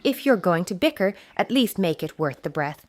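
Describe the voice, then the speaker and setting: Woman playing a stern, animated Roman mother. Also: on the low side, moderate pace, no room sound. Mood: impatient, commanding. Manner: commanding, pointed. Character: stern, practical Roman mother, firm and reproachful. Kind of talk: historical audio drama acting.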